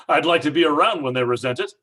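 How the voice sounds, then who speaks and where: A man playing a blunt, jovial, wealthy father with a husky voice in an old-time radio style drama. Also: on the high side, moderate pace, bright, forceful tone. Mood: brash, jovial. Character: brash, hearty rich American father.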